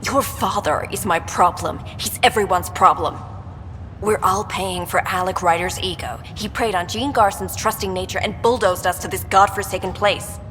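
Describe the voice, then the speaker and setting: An actress playing a hostile, scornful, despairing colonist in a video game. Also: female voice, mid-range voice, moderate pace, fairly bright tone, natural voice. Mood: accusing. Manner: hostile, contemptuous. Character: bitter accusing woman colonist, scornful and despairing. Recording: reverberant hall, music behind.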